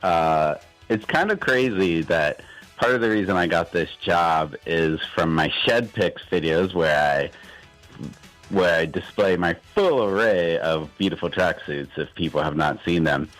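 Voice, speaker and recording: A man's voice: deep voice, measured pace, fairly bright tone, faint music behind, low-quality recording. A man calling in to a radio show for a casual chat, laid-back and dryly joking.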